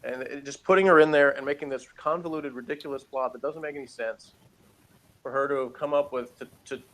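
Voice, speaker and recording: A man's voice: mid-range voice, fairly even intonation, a little fast, fairly bright tone, slight background noise, poor audio. A man giving a casual, opinionated review as a podcast co-host, rambling and emphatic in an exasperated way.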